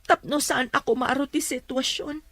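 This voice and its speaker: A woman playing a very high-voiced pleading wife. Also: fairly even intonation, normal speed, sharp tone, no echo. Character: troubled wife and mother, pleading.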